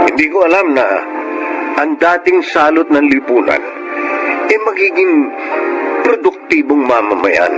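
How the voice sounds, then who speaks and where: A man's voice: mid-range voice, close to an everyday voice, low-quality recording. Guy playing ex street tough turned devout, telling his life story radio drama style, heavy music behind. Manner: reflective, earnest. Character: reformed former street tough, now humble and devout. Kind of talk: dramatic first-person radio drama narration.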